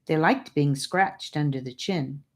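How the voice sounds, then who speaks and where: A woman voicing a boastful, teasing young boy in an audiobook, speaking in an animated way. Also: low-pitched, a little slow. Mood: excited. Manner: animated, bragging. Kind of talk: audiobook character voice.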